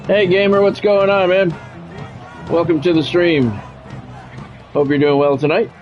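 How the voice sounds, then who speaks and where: Higher-pitched man calling play-by-play, slightly dull sound. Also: flat delivery, measured pace, soft background music, some chatter behind. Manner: announcer-like, clipped play calls. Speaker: game streamer calling play-by-play. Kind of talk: sports commentary mixed with casual livestream chat.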